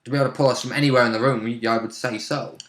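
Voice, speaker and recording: A man's voice: mid-range voice, steady tone, very fast. A man chatting informally about tabletop role-playing as one of the players, in a conversational way. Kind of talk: casual chat. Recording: roomy, echoey room sound.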